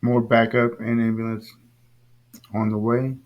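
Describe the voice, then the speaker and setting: A man's voice: medium pitch, flat delivery, a little slow, dull audio. A man giving casual, plain-spoken explanatory commentary as the host, in an informal way. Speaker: host commenting on police scanner audio.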